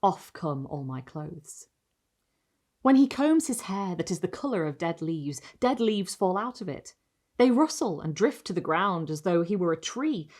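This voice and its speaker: Woman narrating gothic fiction, lowish voice. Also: a little mellow. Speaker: narrator reading a short story. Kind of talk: literary audiobook-style narration of gothic fiction.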